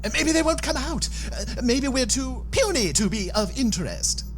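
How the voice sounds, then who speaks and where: Melodramatic doomsayer on a spaceship, high voice, florid and dire, audio comedy w/ music. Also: female voice, big pitch swings, moderate pace. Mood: anxious. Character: melodramatic, doom-laden woman aboard a spaceship. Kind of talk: comic sci-fi audio drama acting.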